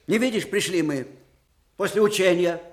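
Актёр играет бойкого, простонародного, душевного и колючего старого русского полководца в советской радиопостановке. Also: male voice, sits high, moderate pace, lightly acted. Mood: jolly, excited. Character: spry, folksy old Russian field marshal, warm and peppery. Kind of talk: 1980s Soviet radio drama acting.

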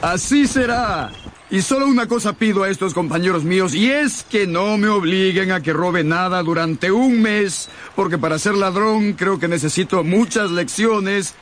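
A man's voice: high-pitched, moderate pace, a bit bright, slight background noise. An actor playing an earnest, courteous, ardent young man in love in a radio drama. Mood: earnest, persuasive. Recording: music behind the voice.

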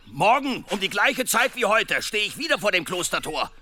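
An actor playing a stubborn, impatient blacksmith in a period radio drama, talking bluntly. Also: male voice, high-pitched, big pitch swings, normal speed, sharp tone, heavily acted. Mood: gruff, insistent.

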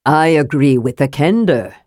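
Storybook ancient dwarf, male, calm and mysterious, strong low voice, mild manner; played by a female narrator. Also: moderate pace, dry recording, clean studio sound. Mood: questioning. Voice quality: strong, deep, youthful.

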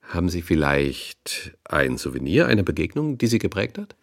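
A curious, slightly hesitant radio interviewer. The voice is low and very lively in pitch, in a dry, close recording. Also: male voice, moderate pace, no room sound. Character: inquisitive male radio interviewer. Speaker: radio host.

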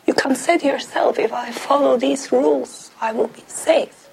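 An actress playing a haunted, resigned young woman prisoner in a film drama, speaking haltingly and dropping toward whispers. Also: sits high, gravelly, music in the background, rough recording. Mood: haunted, bewildered.

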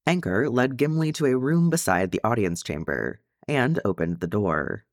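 A man's voice: mid-range voice, sing-song, normal speed, dry recording, clean studio sound. A man reading a story aloud as narrator, in scripted audiobook style. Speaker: fan fiction narrator.

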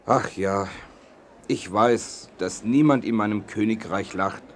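Ein Schauspieler spricht einen gütigen, besorgten und etwas naiven Märchenkönig in einem Kinderhörspiel, bedächtig und theatralisch staunend. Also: male voice, fairly low pitch, slow, a little hiss or hum. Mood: worried. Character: kindly, worried, naive king of a fairy-tale land. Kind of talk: children's audio drama.

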